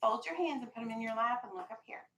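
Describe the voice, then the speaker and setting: A woman's voice: a little high, fast talker, a bit bright, a little hiss or hum. An American woman teaching a vocabulary lesson to young children in a classroom, instructing and prompting with questions and directions. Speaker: elementary school teacher.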